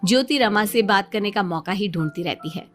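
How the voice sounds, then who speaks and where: A woman's voice: medium pitch, lively intonation, fairly quick, soft background music. A woman narrating a scripted story as the storyteller.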